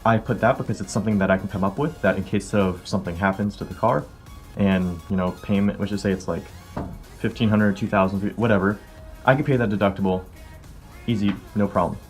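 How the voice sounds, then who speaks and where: Young American male vlogger, early twenties, lowish pitch, informal explainer delivery, music underneath. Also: fairly even intonation, brisk. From California, United States. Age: early 20s. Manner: informal, conversational. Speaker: car vlogger. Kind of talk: casual explanatory vlog talk to viewers. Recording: music behind the voice. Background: background music.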